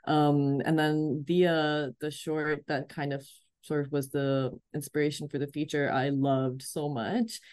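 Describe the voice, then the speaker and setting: A woman from Pakistan, a film producer, answering interview questions in a halting way with frequent pauses and repeated starts. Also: low voice, little pitch movement, darkish tone, no room sound.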